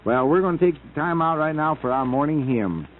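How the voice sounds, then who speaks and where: A man's voice: on the high side, lively intonation, fairly quick, narrow, tinny audio, slight background noise. An American man hosting a casual early-morning radio show, chatting in a folksy, joking, rambling way on a vintage 1950s AM broadcast. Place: radio studio.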